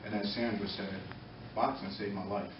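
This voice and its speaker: A middle-aged American man giving earnest, halting, impromptu testimony at a public meeting, pausing between short phrases. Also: fairly low pitch, fairly even intonation. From Norwalk, Connecticut, United States. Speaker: former boxer speaking in support of a youth program. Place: indoor meeting room, far from the mic. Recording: echoey, muffled, distant, noisy room recording.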